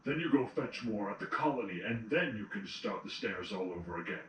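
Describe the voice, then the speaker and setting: A man acts out an authoritative changeling general in an audio drama. He speaks curtly in a lowish voice. It sounds echoey and a bit distant. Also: animated, fairly quick, a bit bright, slight background noise. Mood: stern, impatient. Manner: curt, authoritative. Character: stern, commanding male changeling general. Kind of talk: fan audio drama acting.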